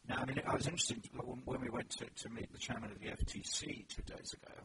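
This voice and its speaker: A man's voice: medium pitch, sing-song, fast, creaky. A British man answering interview questions as a lawmaker, hesitant, with many restarts and fillers. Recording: loud background noise.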